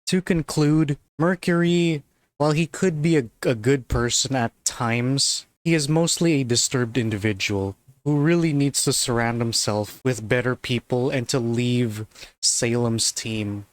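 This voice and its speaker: A man's voice: mid-range voice, unhurried. A man giving a casual spoken commentary in a loose, chatty way, full of fillers and the odd laugh. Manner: loose and chatty, full of "like" and "you know", laughs now and then. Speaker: video commentator.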